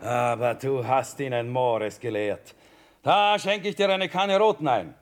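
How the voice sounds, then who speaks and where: A man's voice: medium pitch, lively intonation, measured pace, a little hiss or hum, lightly acted. Pompous blustering army commander played by a man. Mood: jovial, pompous. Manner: grandiose, bellowing declamation. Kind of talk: theatrical radio play acting.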